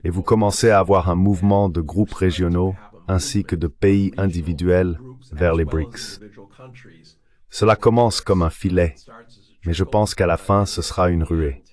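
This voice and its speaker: A man's voice: low-pitched, steady tone, a little slow, a little mellow. A man giving a French voice-over translation of conversational political commentary, speaking as an interpreter.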